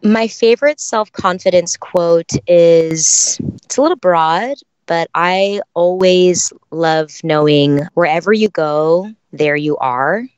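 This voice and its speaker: A woman's voice: fairly low pitch, takes it slowly, few pauses, darkish tone, smooth, dry recording. A young adult woman from the San Francisco Bay Area, a dancer and choreographer, answering interview questions in a thoughtful, reflective way with many restarts. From San Francisco Bay Area, California. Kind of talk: podcast interview.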